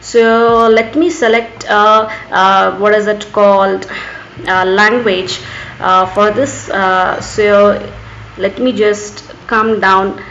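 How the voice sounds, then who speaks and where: A woman's voice: mid-range voice, steady tone, takes it slowly, fairly bright tone. An echoey, slightly muffled recording with faint noise carries a woman's tutorial narration. She has an Indian accent, with Urdu as her first language, and talks without a script, repeatedly saying "actually" as she explains each step. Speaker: tutorial host. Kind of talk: screen tutorial narration, unscripted.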